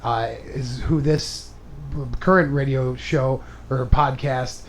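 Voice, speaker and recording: A man's voice: medium pitch, fairly even intonation, slow pace, soft background music. A man hosting a comedy podcast in casual, crude chat, rambling, self-mocking and profane. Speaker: podcast host and stand-up comedian.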